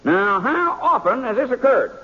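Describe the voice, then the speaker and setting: An actor playing a flustered small-town judge who stammers, clears his throat and blusters through a hearing in a 1950s radio drama. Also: very high-pitched, very animated, moderate pace, bright, forceful tone, heavily acted. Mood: fussy. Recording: muffled old radio recording.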